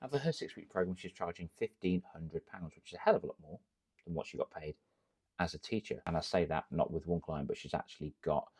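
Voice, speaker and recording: An English man, a confidence coach, giving energetic direct-to-camera business advice full of rhetorical questions. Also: deep voice, fast, husky. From England.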